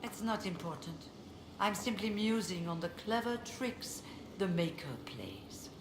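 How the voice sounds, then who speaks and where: A woman's voice: medium pitch, expressive, measured pace, natural voice. Lady doing a pensive restrained game character, echoey space with noticeable background noise. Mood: wistful. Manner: musing, restrained. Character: pensive, reserved woman in a fantasy world. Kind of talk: video game dialogue.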